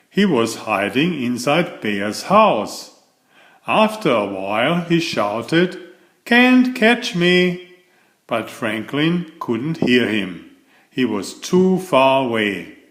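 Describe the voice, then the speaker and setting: Slow, lively male storyteller in echoey room. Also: mid-range voice. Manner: expressive, deliberate, simple storybook delivery. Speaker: storyteller reading a picture book. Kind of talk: bedtime story read-aloud.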